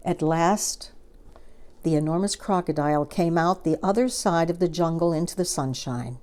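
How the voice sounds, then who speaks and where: Mellow, low-voiced woman storyteller. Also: moderate pace. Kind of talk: expressive children's story reading.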